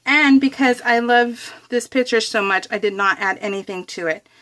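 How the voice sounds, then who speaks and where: A woman with a fairly high voice narrates her crafting in real time. She is chatty and informal, giggly and quick to poke fun at herself, and her talk rambles along loosely. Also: sharp tone. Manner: giggly, self-deprecating, rambling. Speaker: craft vlogger.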